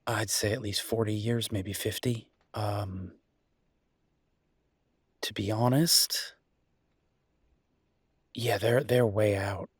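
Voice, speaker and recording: A man playing an easygoing, teasing chef boyfriend in an intimate audio roleplay, chatting with affectionate, playful exasperation in a husky voice. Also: fairly low pitch, steady tone, takes it slowly, long pauses, dry recording, clean studio sound. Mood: affectionate, wry. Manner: conversational, playful, exasperated banter.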